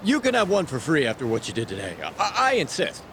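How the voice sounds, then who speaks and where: An actor playing a timid, flustered shop vendor in a video game, stammering nervously and very deferential. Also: male voice, on the high side, huge pitch swings, fast, a bit bright, a little hiss or hum. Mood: grateful, eager. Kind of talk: video game character acting.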